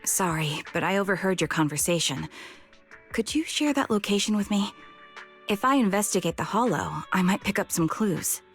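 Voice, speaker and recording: An actress playing a composed, reserved retired female soldier in a video game, speaking in a calm, formal and measured way. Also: mid-range voice, lightly acted. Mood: steady, resolute. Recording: music under the voice.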